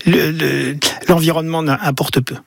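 Un homme d'âge mûr, originaire de Paris, invité d'un studio de radio, parle de son travail de peintre avec beaucoup d'hésitations. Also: male voice, a little high, fairly even intonation, moderate pace, gravelly, no room sound. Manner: hesitant, many fillers and restarts. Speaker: painter and guest. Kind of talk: radio interview answers. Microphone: studio microphone.

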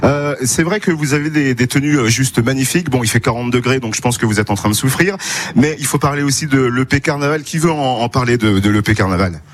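A man's voice: medium pitch, quick pace. A man hosting a live radio interview from an outdoor festival stage, chatty and jokey, with frequent hesitations. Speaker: radio host.

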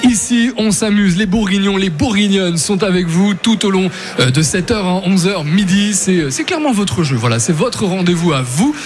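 A man's voice: high-pitched, lively intonation, moderate pace. A man hosting a radio quiz in a chatty, playful, teasing and informal way. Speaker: radio game show host. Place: on location at a trade fair. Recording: music behind the voice.

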